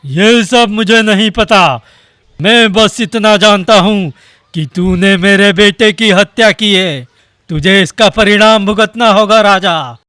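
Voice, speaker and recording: A man voicing a huge, vengeful male demon king in a cartoon, speaking slowly and threateningly. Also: high voice, sharp tone, clean tone, no room sound, heavily acted. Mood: menacing. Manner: threatening, slow, commanding. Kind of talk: Hindi cartoon voice acting.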